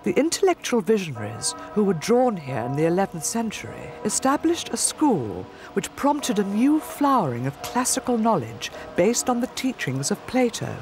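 Expressive woman narrating a documentary. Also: medium pitch, normal speed, few pauses. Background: music.

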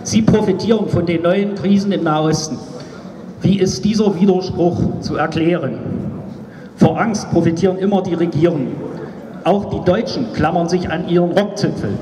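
A man in his fifties speaks into a handheld microphone at a street rally, his voice raised high. He declaims his political speech with emphasis, and it rings out over a loudspeaker across an open square, picked up from far off with long reverb. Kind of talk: political rally speech, partly read from notes. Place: outdoors on a cobbled town square at night. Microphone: handheld microphone through loudspeaker, recorded by a far camera. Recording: echoey outdoor PA, far from camera.

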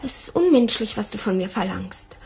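A woman's voice: medium pitch, animated, moderate pace, gravelly, darkish tone, slight background noise. An actress playing a young woman, weary and reproachful, in a German radio drama.

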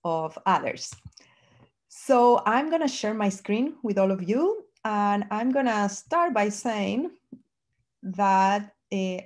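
A woman's voice: mid-range voice, darkish tone. A woman in her fifties from Argentina, a native Spanish speaker with an Argentine accent, presenting research as a professor on a video call. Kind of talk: conference presentation. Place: home office, indoors. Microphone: computer on a video call.

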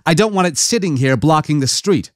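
A man voicing a sharp, commanding young female Imperial agent who speaks curtly and imperiously, in an audiobook. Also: a little high, lively intonation, a little fast, no echo, clean studio sound, pitched above the performer's own voice, natural voice. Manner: curt, imperious.

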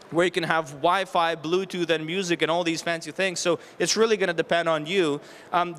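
A man in his thirties speaks to an audience as a contractor giving practical advice. His voice sits high for a man and sounds clean and resonant. He explains step by step, posing rhetorical questions and running through options. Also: fairly bright tone, clean tone. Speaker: renovation contractor giving a presentation. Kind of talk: public presentation with practical tips. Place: stage at an indoor home show. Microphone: handheld microphone held near the chin.

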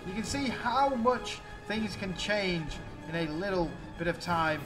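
A man with a high-pitched voice commentates a strategy game battle over music. He speaks in exclamatory play-by-play, talking straight to his viewers. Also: expressive, a little slow, rough recording.

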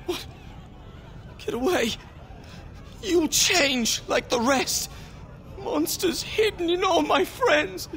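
A man's voice: very high voice, expressive, slow, long pauses, fairly bright tone, a faint crowd, heavily acted. An actor voicing a terrified, paranoid male dwarf adventurer in a video game, talking in a frantic, raving way. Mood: panicked. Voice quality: frantic, panicked.

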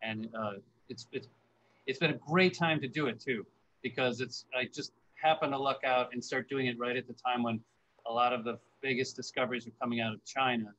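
Guest artist, man on a webcam call from a studio; bright voice, hesitant, stops and restarts. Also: mid-range voice, steady tone, a little fast, low-quality recording. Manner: hesitant, repeats words. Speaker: paleoartist guest. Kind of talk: video call interview. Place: workshop studio. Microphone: video call webcam.

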